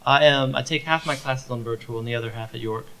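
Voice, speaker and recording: A man's voice: medium pitch, fast talker, sharp tone, slight background noise. A young man, a student on a panel, talking eagerly and repeating himself. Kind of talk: panel talk.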